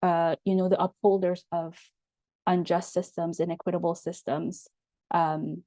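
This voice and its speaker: A young woman with an Indonesian accent speaks as an interview guest, her voice low and warm. She talks in measured phrases, pausing often on ums and uhs. The sound is close and dry. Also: level tone, no room sound. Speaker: guest, writer and storyteller. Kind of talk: thoughtful interview answers.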